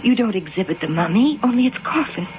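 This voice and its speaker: A woman acting in a radio drama as an intense, imperious and mysterious figure who claims to be a cat goddess, speaking solemnly and deliberately. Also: medium pitch, lively intonation, over loud music, lightly acted. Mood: urgent, persuasive. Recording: dull lo-fi 1980 radio broadcast.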